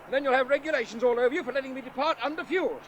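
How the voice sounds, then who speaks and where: A cocky boozy pilot in a radio play, high bright voice, bossy and slangy. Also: male voice, racing, a little hiss or hum. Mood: bullying. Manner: brash, bullying, slangy. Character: brash hard-drinking male pilot, cocky. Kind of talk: 1970s radio drama acting.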